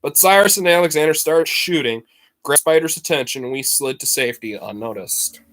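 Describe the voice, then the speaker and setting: A man narrates a storybook like a teacher reading to a class. His delivery is expressive and dramatic, leaning deliberately on key words. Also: a little high. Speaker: teacher reading a book aloud to students.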